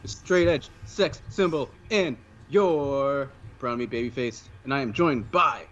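Joking man hosting a lo-fi podcast. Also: measured pace. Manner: joking, sings the show chant in a loud high voice. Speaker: podcast host. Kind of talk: casual podcast chat. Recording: dull lo-fi sound, faint hiss.

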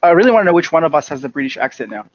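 A man's voice: a little high, sing-song, rapid-fire, dry recording. A young Australian man with an Australian accent, co-hosting a podcast in an easygoing, earnest casual chat. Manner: easygoing, earnest, uses lots of fillers. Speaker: podcast co-host. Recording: dull, slightly muffled with faint hiss.

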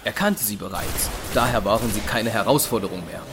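A man's voice: on the low side, a little fast, noisy background. A man giving scripted narration for a science fiction audio drama. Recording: music behind the voice.

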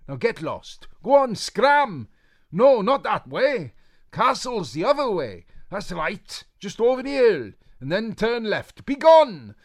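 A man reading aloud and voicing a cranky, bossy old woman seer, high and theatrical. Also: very animated, takes it slowly. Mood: shrieking.

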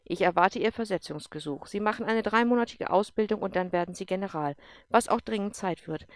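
A woman reading aloud and voicing a strict, commanding female general who talks in clipped, forceful sentences. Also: mid-range voice, dry recording, clean studio sound, lightly acted. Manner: clipped, forceful, brooks no argument. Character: stern, commanding female general, middle-aged.

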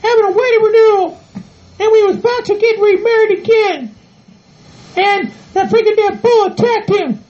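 Shrill panicky ranch wife as a lady puts her on, wailing and begging, muffled lo-fi sound. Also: normal speed, a little mellow. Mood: distraught. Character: frantic ranch wife, fussing over husband. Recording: dull lo-fi audio.

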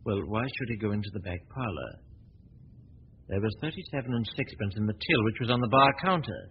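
A suave gentleman sleuth, breezy and teasing, 1940s radio play, dull old recording, faint hiss. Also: fairly low pitch, lively intonation, fairly quick. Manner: breezy, teasing, self-assured. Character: suave confident male amateur detective. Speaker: lead actor in radio drama.

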